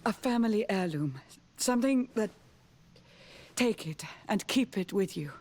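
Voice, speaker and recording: A frail, sickly noble mother in a fantasy game. She speaks very slowly with a gravelly creak, weary, gentle and solemn, resigned to her illness. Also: mid-range voice, lively intonation. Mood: tender, solemn. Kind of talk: video game drama acting.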